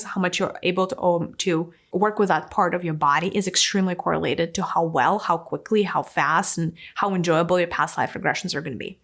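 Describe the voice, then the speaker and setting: A woman in her thirties giving a casual, animated talk to camera in a room, now and then dropping to a near-whisper for emphasis. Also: lowish voice. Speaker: spiritual teacher. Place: indoor room with plants and a window. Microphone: fixed camera, medium framing.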